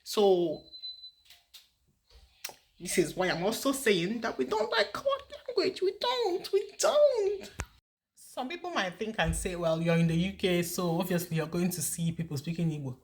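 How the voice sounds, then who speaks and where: A woman from Nigeria, talking casually to camera as a vlogger from her home, animated and full of repeated rhetorical questions. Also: fairly low pitch, moderate pace.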